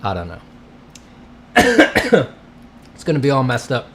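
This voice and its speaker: A man's voice: medium pitch, huge pitch swings, slow pace, noisy background. A congested man hosting a casual livestream, rambling and greeting his chat between coughs. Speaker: livestream host, artist. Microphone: streaming setup mic.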